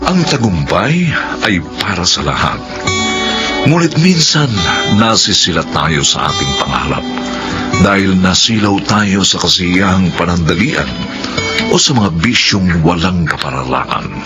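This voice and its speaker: A man gives dramatic, solemn radio narration. His voice is deep and gravelly, with huge melodic swings and a deliberate delivery. Loud music plays underneath. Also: sing-song.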